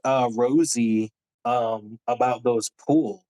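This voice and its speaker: A man's voice: medium pitch, steady tone, takes it slowly, dark tone, poor audio. A man playing a tabletop roleplaying game, casually describing what his character does.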